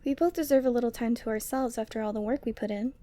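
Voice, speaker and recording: A woman voicing an affectionate, teasing but lonely yeti girl in an ASMR roleplay, tender and coaxing with breathy sighs. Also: a little high, fast, dark tone, lightly acted. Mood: warm, chatty. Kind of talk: ASMR roleplay audio drama.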